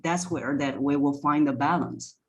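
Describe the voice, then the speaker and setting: A woman's voice: sits low, normal speed, darkish tone. A woman speaking as a voice coach in an interview, explaining things step by step with examples. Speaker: voice coach, podcast guest.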